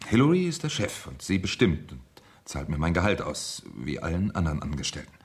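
Radio crime drama: uneasy, calculating husband with a guilty past; low, gravelly, swinging intonation, hesitant excuses. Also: male voice, very animated, brisk, slight background noise. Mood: defensive. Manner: hesitant, explaining, evasive. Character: nervous, evasive kept husband, calculating former accomplice.

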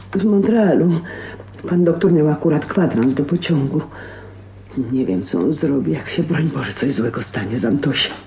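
A dull-sounding radio play recording. a chatty, fretful housekeeper woman with a dark, mellow, lowish voice, fussing in a homely manner. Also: slight background noise. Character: fussing, chatty female doctor's housekeeper, concerned. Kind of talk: radio drama dialogue.

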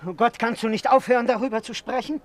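Shrill, panicky coward. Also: male voice, quick pace. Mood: hysterical panic. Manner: frantic, pleading. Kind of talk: stage-style German radio drama acting.

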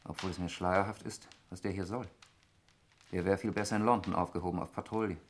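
An actor playing an irritable, suspicious lawyer in a 1960s German radio murder mystery, speaking in a precise, argumentative way. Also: male voice, low-pitched, steady tone, brisk, natural voice. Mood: suspicious, scornful. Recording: noisy 1960s radio recording with clicks.

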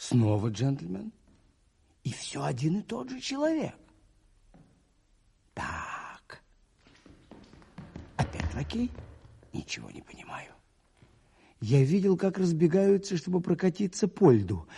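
Radio play priest detective: gravelly, dark-toned, thoughtful, questioning himself as he reasons. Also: on the high side, huge pitch swings, slow, pauses often, heavily acted. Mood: puzzled, musing. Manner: musing, questioning himself aloud. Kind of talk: radio play narration and monologue.

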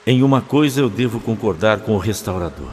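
A man playing a wary, learned alien former professor in an audio drama, explaining things gravely. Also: fairly low pitch, animated, brisk, darkish tone, a little hiss or hum, natural voice. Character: learned, wary male Time Lord, reclusive former professor. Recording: faint music under the voice.

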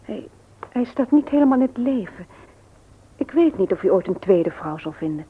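An actress playing an earnest, gently persuasive married woman in a radio play, often hesitant and soft. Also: mid-range voice, lively intonation, moderate pace, narrow, tinny audio, slight background noise, close to an everyday voice. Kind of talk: Dutch radio play acting.

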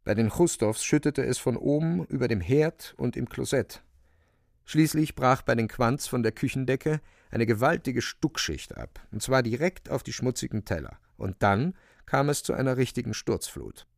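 A male narrator with a creaky, gravelly voice at a middling pitch. He tells a literary radio drama with theatrical delivery, recorded close in a very dry studio. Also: expressive, normal speed, no room sound. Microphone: close, dry studio microphone.

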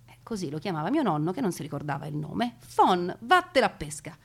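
A woman narrating a family wartime story as a theatrical monologue, with dramatic pauses and deliberate emphasis. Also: on the low side, sing-song, gravelly, husky. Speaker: stage narrator telling grandfather's wartime story.

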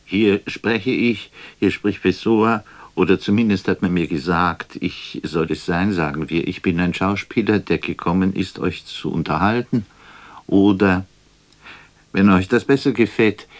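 Radio drama lead: brooding middle-aged poet, low register, deliberate pauses, theatrical self-doubt. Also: male voice. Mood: tentative, introducing himself.

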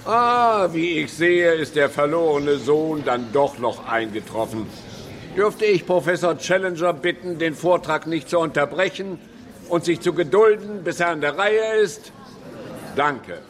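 An actor playing a pompous, stern male institute director in a radio drama, speaking formally and deliberately. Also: on the high side, expressive, fairly bright tone. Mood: irritated. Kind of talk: theatrical German radio drama acting.

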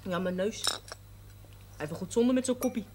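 A young woman with a Dutch accent playing a brash, quick-tongued miner's daughter in a 1970s TV drama. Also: mid-range voice, noisy background, lightly acted. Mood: cheeky.

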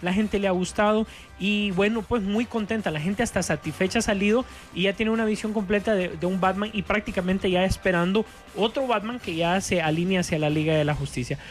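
A Honduran man hosts a casual film show in a noticeably high voice. He talks in long, wordy stretches, stumbling over repeated words. Light music plays behind him. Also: fairly quick. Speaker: radio show host. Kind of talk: casual, chatty film talk. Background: background music.

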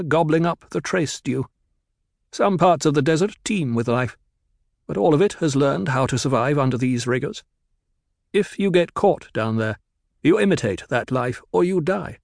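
A man's voice: vocal fry, a little mellow, no echo, clean studio sound. A man reading an audiobook, voicing a stiff, guarded male planetologist who speaks curtly and coldly. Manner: clipped and cold, raised pitch. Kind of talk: audiobook character voice.

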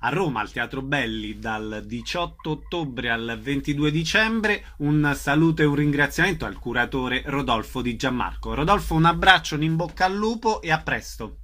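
A man hosting a radio interview, putting questions and reading out show details in a clear announcer's delivery. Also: on the high side, expressive, moderate pace, few pauses, bright, forceful tone. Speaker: radio host.

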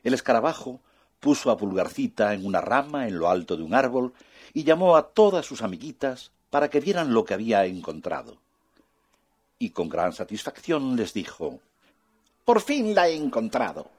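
A man narrating a children's fairy tale in an expressive, soft-spoken way. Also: on the low side, normal speed, breathy edge. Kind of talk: children's storytelling.

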